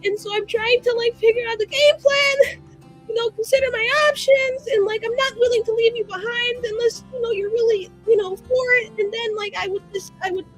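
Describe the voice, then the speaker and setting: A woman's voice: high-pitched, sing-song, unhurried, pauses often, slightly rough, faint music behind, pitched below the performer's own voice, full character voice, rough recording. A woman voicing a nervous, scheming young mage in a fantasy tabletop game, rambling, slangy and anxiously earnest. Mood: pleading, emotional.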